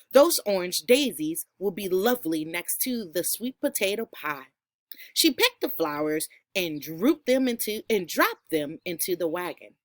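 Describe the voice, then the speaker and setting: A woman teacher reads aloud to young children with enthusiasm. Her pitch rises and falls widely and she takes her time, in a close, dry recording. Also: fairly bright tone, no room sound. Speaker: second grade teacher reading aloud. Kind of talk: expressive children's storybook read-aloud.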